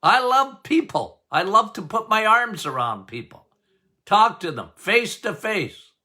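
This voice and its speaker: An older man hosting a health podcast as a doctor, talking loudly and passionately, with frequent laughs. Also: sits high, very animated, unhurried. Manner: loud, emphatic, passionate, laughs often. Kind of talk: casual health podcast talk.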